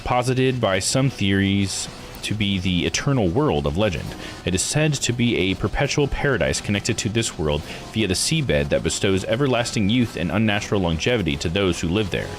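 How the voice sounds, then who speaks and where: A man's voice: fairly low pitch, animated, normal speed. A man hosting a game playthrough, reading text aloud and musing to himself in casual live commentary. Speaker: host of a visual novel live playthrough. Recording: music behind the voice.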